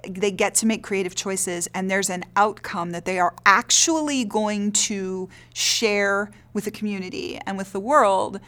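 A woman's voice: mid-range voice, fairly bright tone. A woman theater director explaining her work in an earnest, reflective interview. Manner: earnest, explanatory, checks with "right?".

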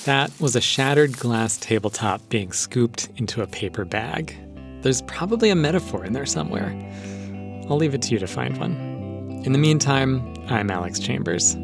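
A man's voice: mid-range voice, animated, moderate pace, music in the background. A man hosting a public radio show, reading in a conversational scripted style. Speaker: radio show and podcast host.

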